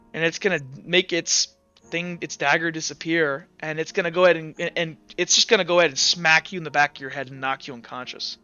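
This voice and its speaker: A man improvising narration as a game master in a casual, halting way, pausing between phrases. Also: fairly high pitch, sharp tone, smooth, no echo. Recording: dull lo-fi audio with background music.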